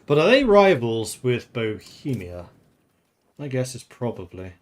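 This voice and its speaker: A man's voice: medium pitch, very animated, a little slow. A man from the Netherlands who grew up in England, streaming a strategy game and thinking aloud in casual commentary, chatting with viewers and laughing often.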